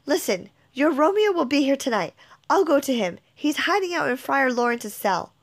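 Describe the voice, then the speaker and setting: Brief: fussy old nurse, a woman reader's voice, high and loud, wailing her laments. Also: very animated, moderate pace. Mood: reassuring.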